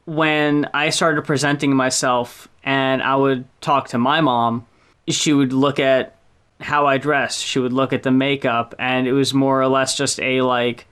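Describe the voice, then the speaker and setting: A woman's voice: very deep voice, smooth. A woman co-hosting a podcast, chatting casually, blunt, swearing freely and laughing often. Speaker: podcast co-host. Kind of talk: casual chat about music.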